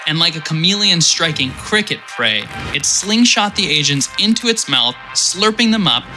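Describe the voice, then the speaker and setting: A man's voice: fairly high pitch, expressive, normal speed, pushed and bright, faint music behind, rough recording. A man narrating an audio drama in vivid storytelling style with comic asides.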